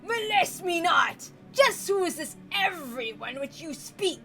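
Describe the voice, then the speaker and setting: Woman putting on a bellowing, high-pitched old patriarch. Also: very animated, sharp tone, faint music behind, full character voice. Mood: furious, imperious. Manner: bellowing, bombastic, drawn-out words. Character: aged raging tyrannical patriarch, theatrical and raving.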